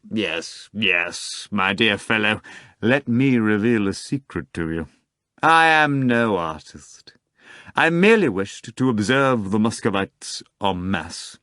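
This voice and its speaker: A man's voice: lowish voice, expressive, takes it slowly, a bit bright, no room sound, studio quality. A man voicing a suave, condescending male magician in an audiobook reading. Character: suave, condescending foreign magician, an older devil.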